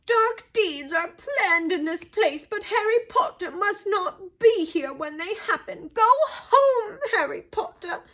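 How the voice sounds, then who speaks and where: A woman's voice: very high voice, animated, takes it slowly, few pauses, fairly bright tone, voice pushed up, full character voice. A woman voicing a small male house elf for a storybook, servile, fearful and anguished, squealing and moaning as he pleads. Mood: frightened warning. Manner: pleading, stammering, tearfully dramatic.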